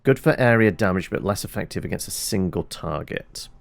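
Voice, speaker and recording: A man's voice: low-pitched, lively intonation, brisk, gravelly. A man hosting a let's play, who rambles and corrects himself as he mutters through his inventory. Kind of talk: casual gameplay commentary. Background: game music.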